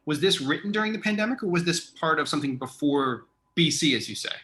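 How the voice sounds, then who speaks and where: A man's voice: a little high, animated, quick pace, bright, forceful tone. A man hosting a casual conversational interview, informal and agreeable. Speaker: interviewer, theatre artistic director.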